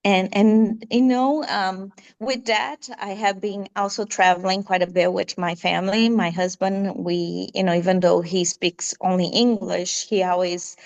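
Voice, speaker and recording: A middle-aged Brazilian woman speaking English as a second language, answering interview questions hesitantly with many fillers. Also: medium pitch. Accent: Brazilian Portuguese. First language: Portuguese. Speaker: guest. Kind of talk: conversational interview.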